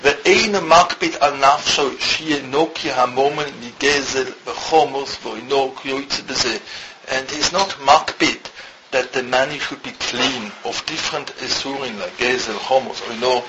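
Rabbi from Switzerland, halting second-language English, reads Hebrew fast; muffled recording with loud hiss. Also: male voice, mid-range voice. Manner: halting non-native English, rapid Hebrew reading. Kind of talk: Torah lecture, reading Hebrew text then translating.

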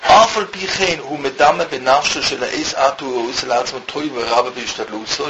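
A man from Switzerland teaching a Torah lesson in halting non-native English, quickly reading out Hebrew text and then translating it. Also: mid-range voice. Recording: muffled with loud background hiss.

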